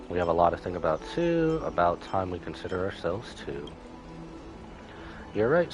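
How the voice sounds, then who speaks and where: A man's voice: low-pitched, rough recording. A man live-streaming a story game, reading dialogue aloud and adding casual asides. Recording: music behind the voice.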